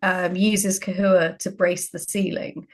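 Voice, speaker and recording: A woman's voice: on the low side, little pitch movement, takes it slowly. A woman talking casually in an interview as a writer and co-host.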